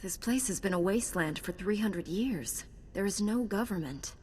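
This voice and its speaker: A woman's voice: medium pitch, moderate pace, a little mellow, a little hiss or hum, lightly acted. A woman playing a composed and direct knightly heroine in a video game, with controlled delivery. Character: composed, blunt young female Jedi knight. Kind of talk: game character dialogue.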